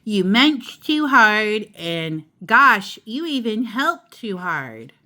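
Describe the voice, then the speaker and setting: Slow, gently firm lady fish schoolteacher, read by a woman. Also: medium pitch, sing-song, exaggerated voice. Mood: gently scolding. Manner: measured, gently scolding.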